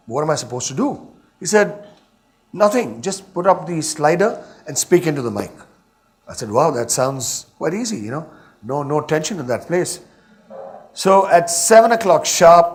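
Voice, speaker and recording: A middle-aged man with an Indian English accent speaks from a stage, his pitch sitting in an ordinary middle register. He talks emphatically as a radio DJ giving a motivational address. He drives his points home by repeating phrases in rhythmic lists. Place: stage in a hall. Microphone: stage microphone.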